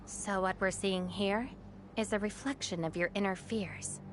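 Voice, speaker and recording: A woman's voice: medium pitch, fairly even intonation, measured pace, noisy background, lightly acted. A woman playing an enigmatic, self-assured female companion in a video game, teasing and aloof, shifting between formal phrasing and flippant slang. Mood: musing, probing. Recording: music under the voice.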